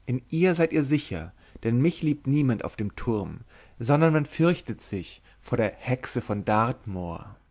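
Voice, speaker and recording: A man's voice: medium pitch, animated, a little slow, a little mellow. A man reading a storybook, voicing a bold young witch-maiden who is sly, confiding and commanding. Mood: brisk, conspiratorial. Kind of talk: dramatic literary audiobook reading.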